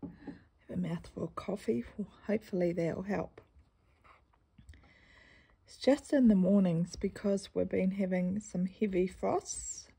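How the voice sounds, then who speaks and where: A woman with a New Zealand accent chatting casually in a stitching vlog, rambling through a story and often clearing her croaky throat. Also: mid-range voice, fairly even intonation, a little slow, pauses often, warm tone. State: croaky morning voice. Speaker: cross-stitch vlogger.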